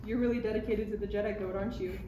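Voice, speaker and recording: A woman's voice: medium pitch, fairly even intonation, very fast, mellow, lightly acted. A woman playing a playful, kind young princess senator at a table read of a parody script. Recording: echoey noisy room, distant mic.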